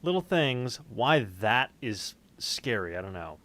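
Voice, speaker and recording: A man's voice: mid-range voice, very animated, unhurried, bright, forceful tone. A man chatting casually in an informal storytelling way, with plenty of swearing.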